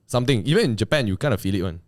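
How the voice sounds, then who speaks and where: A man's voice: fairly low pitch, expressive, very fast, no echo, clean studio sound. A man from Malaysia with a Malaysian English accent, telling stories in a casual podcast chat. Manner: chatty storyteller, tags sentences with "lah" and "man". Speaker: podcast co-host.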